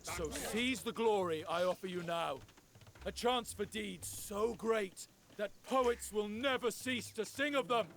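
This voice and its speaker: An actor playing a noble, rousing Spartan general in a video game, speaking like a solemn, grand orator. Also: male voice, high-pitched, unhurried, fairly bright tone. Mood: rousing, defiant. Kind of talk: dramatic game cutscene acting.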